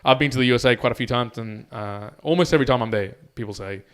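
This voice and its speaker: A man's voice: medium pitch, fast. An Australian man co-hosting a podcast, reading listener messages aloud and chatting casually. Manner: relaxed, chatty, says "mate" often. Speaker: podcast co-host.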